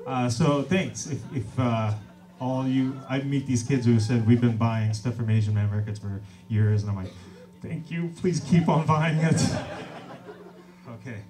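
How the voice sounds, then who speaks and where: A man in his thirties talking casually and warmly between songs at a small club gig, relaxed and self-deprecating, laughing often. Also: mid-range voice, a little slow. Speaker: singer-songwriter and label owner. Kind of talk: stage banter between songs. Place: small crowded club venue, indoors. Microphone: stage vocal mic on stand, recorded from audience. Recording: distant live room recording. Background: acoustic guitar, audience.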